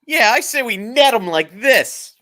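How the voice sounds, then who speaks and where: Dim cartoon ghost underling, voiced by a table reader, goofy, bright, slow and swooping. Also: medium pitch, expressive. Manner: goofy, exaggerated. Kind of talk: live comedic table read.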